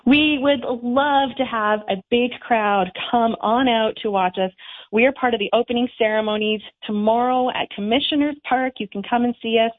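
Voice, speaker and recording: A woman from Canada explaining things clearly in a radio interview, heard over the phone. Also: medium pitch. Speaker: town crier guild president, interview guest. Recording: tinny phone line.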